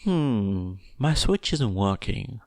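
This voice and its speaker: A man's voice: on the low side, very animated, takes it slowly, darkish tone, no room sound, clean studio sound, full character voice. A man voicing a plain, matter-of-fact male bus driver in a story. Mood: practical.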